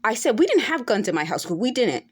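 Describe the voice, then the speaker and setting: A woman's voice: a little high, very animated, fast talker, slightly rough. A woman in her forties talking straight to camera in an unscripted monologue, earnest and insistent, now and then rising to emphatic peaks. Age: 40s. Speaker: vlogger.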